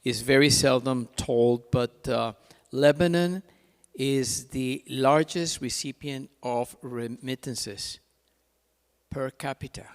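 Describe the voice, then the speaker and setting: A man's voice: mid-range voice, slow, clean tone. A man moderating a conference panel in an informal, chatty way, often repeating his words. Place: large conference hall. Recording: reverberant hall, PA sound.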